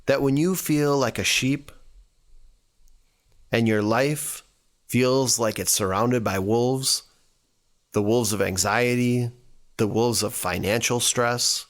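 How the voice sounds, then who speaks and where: A man reading scripture and reflecting on it as a church devotional, speaking earnestly in deliberate, short phrases. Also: medium pitch. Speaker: church staff member giving a devotional.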